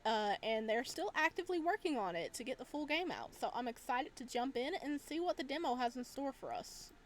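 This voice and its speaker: High, very animated woman playing games. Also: fairly quick, slight background noise. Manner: playful, expressive, reacting aloud. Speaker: gaming video host. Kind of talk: casual let's play commentary.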